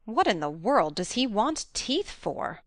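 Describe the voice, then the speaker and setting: A woman's voice: big pitch swings, unhurried, dry recording, clean studio sound. A woman voicing a stern older farm woman. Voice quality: low and quiet. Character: stern reserved elderly farm woman, gruff but caring. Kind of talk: audiobook character voice.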